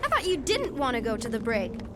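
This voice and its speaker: A woman's voice: on the high side, huge pitch swings, fairly quick, long reverb tail, very noisy. An actress playing a sarcastic, secretive young teenage girl in a video game, with an American accent and a casual, sardonic manner. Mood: curious, probing. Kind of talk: naturalistic video game voice acting.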